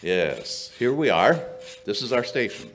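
An older man acting a shrewd, dry-witted gentleman detective in old-time radio style, with measured, theatrical storytelling. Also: lowish voice, huge pitch swings, very noisy, full character voice. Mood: grave, measured. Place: small indoor stage before an audience. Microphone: vintage-style stand microphone.

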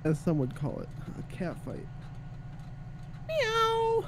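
A man's voice: on the high side, normal speed, very noisy. A man chatting casually and jokingly as a fellow player in an online police roleplay game. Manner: informal, joking. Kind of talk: casual gaming chat.

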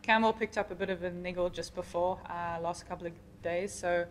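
A woman's voice: fairly low pitch, steady tone, a little fast. A young woman cricket player giving casual answers at a post-match press conference. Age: young adult. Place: indoor press conference room.

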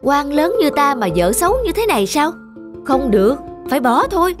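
A woman's voice: high-pitched, big pitch swings, moderate pace. A woman voicing a vain, haughty, poor male scholar in a cartoon folktale. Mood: haughty, threatening. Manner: boastful, haughty, demanding. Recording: music behind the voice.

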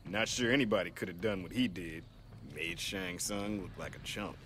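A tough, easygoing game fighter. His low voice is forceful and lively but casual, with faint noise behind it. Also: moderate pace. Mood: casual admiration. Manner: casual, colloquial. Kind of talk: video game cutscene acting.

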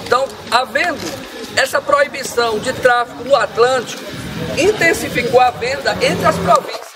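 A Brazilian man with a notably high voice explains local history to a crowd standing in an open square. He sounds far from the camera, and steady outdoor noise runs under his lecturing tone. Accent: Brazilian Portuguese. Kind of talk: outdoor history talk to a group. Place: sunny town square outdoors. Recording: distant outdoor voice with background noise.

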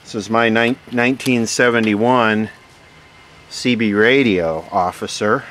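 Slow-talking man, noisy background. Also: fairly low pitch. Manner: musing, unhurried, pausing between short phrases. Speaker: vlogger unboxing vintage radio gear. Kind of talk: casual hands-on unboxing commentary. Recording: steady background noise.

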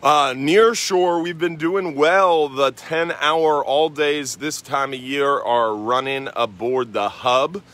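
An American man talks through the weather and the fishing in a relaxed, rambling way, stopping often on "uh". His voice is bright and forward, and he speaks outdoors by the water. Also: on the high side, slow pace, hardly any pauses. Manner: relaxed, rambling, many "uh" fillers. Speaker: marina host giving fishing report. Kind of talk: casual fishing and weather report. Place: outdoors at a marina at sunrise.

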